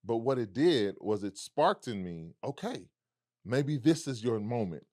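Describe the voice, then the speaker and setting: A man with a deep baritone voice, reflecting on his past as a guest in a casual conversation and acting out remembered dialogue. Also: big pitch swings, unhurried, a little mellow, no room sound, studio quality. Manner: reflective, storytelling with quoted dialogue. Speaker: musician guest.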